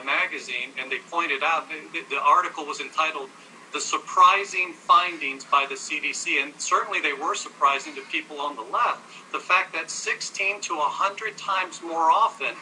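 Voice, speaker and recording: High, sharp-voiced American man debating. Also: a little hiss or hum, poor audio. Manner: assertive, argumentative, corrects the host. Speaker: gun-rights advocate, interview guest. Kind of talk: televised debate, arguing with statistics.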